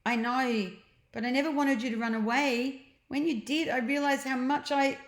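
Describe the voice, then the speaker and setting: Storybook big sister, performed by a woman: girlish, high, emphatic, bossy yet tender, roomy sound. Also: steady tone, moderate pace, natural voice. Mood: apologetic. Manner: emotional, emphatic. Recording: echoey, roomy home recording.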